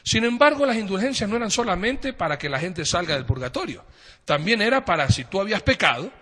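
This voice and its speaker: Emphatic higher-voiced man, reverberant. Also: very animated, a little fast, sharp tone. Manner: emphatic, posing questions. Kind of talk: casual discussion. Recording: long reverb.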